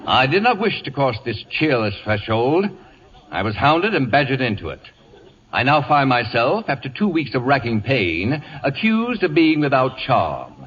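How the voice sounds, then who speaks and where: Haughty, animated, acid-tongued celebrity invalid. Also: male voice, medium pitch, normal speed, slightly rough. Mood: irritable, scornful. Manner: imperious, biting, theatrically haughty. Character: pompous, acid-tongued celebrity wit, irascible male invalid. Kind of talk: radio comedy acting. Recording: dull old radio broadcast recording.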